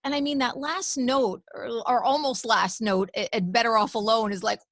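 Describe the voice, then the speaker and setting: A woman's voice: fairly high pitch, normal speed, fairly bright tone, no room sound, studio quality. A woman radio host, enthusiastically asking effusive interview questions.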